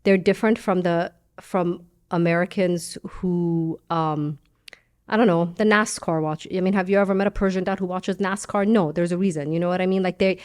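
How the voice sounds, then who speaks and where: Lively, joking woman guest from Iran. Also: lowish voice. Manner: animated, joking, quick asides. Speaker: guest journalist. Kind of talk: casual podcast conversation.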